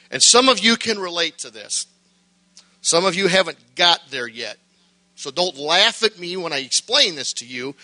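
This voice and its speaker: A middle-aged man preaching a sermon, folksy and joking at first, then building to shouted fervor. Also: a little high.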